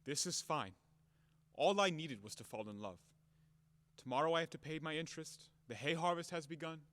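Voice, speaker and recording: A man acting a blustering, hot-headed gentleman landowner in an audio play, melodramatic and declamatory, wildly in love. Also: a little high, moderate pace, lightly acted. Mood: bitter, self-reproaching. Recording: long hall reverb, sometimes off-mic.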